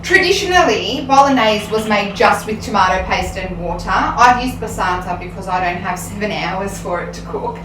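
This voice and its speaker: A woman's voice: mid-range voice, lively intonation, normal speed, few pauses, fairly bright tone. A woman teacher giving a casual cooking demonstration in a home kitchen, chatty and explaining step by step with frequent ums. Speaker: Italian teacher. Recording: roomy sound, mic at a distance, faint room noise.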